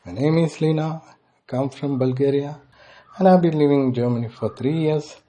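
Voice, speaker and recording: A man giving an instructional language lesson as a teacher, deliberately sounding things out piece by piece. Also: a little high, dark tone. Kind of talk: slow instructional lesson with repetition.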